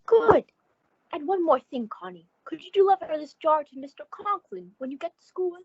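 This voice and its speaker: A woman's voice: very high voice, very animated, moderate pace, darkish tone, dry recording, exaggerated voice. A woman playing a fussy, chatty, good-natured landlady in an old-time radio comedy. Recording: dull, muffled audio.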